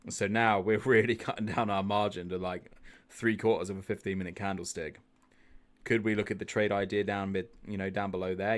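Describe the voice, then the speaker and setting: A man hosting a live trading stream, chatting through chart analysis, thinking aloud with restarts and asking viewers for their views. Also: on the low side. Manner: chatty, thinks aloud, repeats words, asks viewers questions. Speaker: trading group host.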